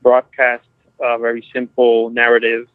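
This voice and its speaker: A man in a casual analytical discussion, speaking in halting, hesitant phrases. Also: mid-range voice, completely flat delivery, no room sound, narrow, tinny audio. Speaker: podcast discussion participant.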